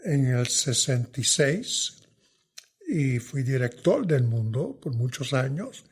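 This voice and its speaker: An older man, a veteran journalist, answering interview questions in a thoughtful, hesitant way that often trails off softly. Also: mid-range voice, big pitch swings, slow, breathy edge. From New York.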